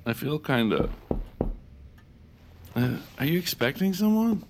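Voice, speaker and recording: A man's voice: on the high side, very animated, unhurried, noisy background. An actor playing a casual male bar date who becomes a bewildered victim, in a naturalistic audio drama. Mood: woozy, confused. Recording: loud music under the voice.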